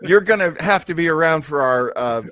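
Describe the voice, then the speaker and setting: A man hosting a listening party with casual, joking, animated commentary. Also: on the high side, moderate pace. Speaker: host of a live listening party.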